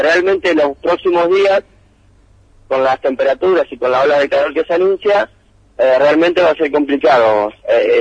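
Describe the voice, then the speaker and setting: A man giving a meandering radio report by phone, pausing often on fillers. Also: a little high, dry recording, poor audio. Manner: meandering, hesitant with many fillers.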